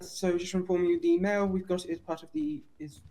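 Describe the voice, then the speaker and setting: A man improvising procedural talk as a police detective in online roleplay, halting and informally official. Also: sits high, expressive, warm tone, a little hiss or hum.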